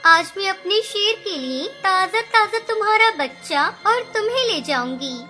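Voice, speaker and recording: A woman's voice: very high-pitched, lively intonation, moderate pace, sharp tone, full character voice. A woman voicing a clever, bold little mother bird in a children's cartoon story, emphatic and scolding. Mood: bold, insistent. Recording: music behind the voice.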